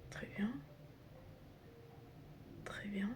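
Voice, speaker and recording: A woman doing an ASMR roleplay in a breathy whisper mixed with soft speech, teasing and chatty as she addresses the listener like a close friend. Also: very slow. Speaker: ASMR creator role-playing as a doctor and best friend. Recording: clear background noise with clicking sounds.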